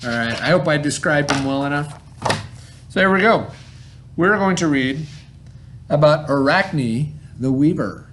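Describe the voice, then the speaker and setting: A male schoolteacher with a slightly high voice gives an informal read-aloud lesson. He explains in a conversational way, as if to a child, and often checks that the listener is following. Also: lively intonation, a little slow, long pauses, a bit bright, slight background noise.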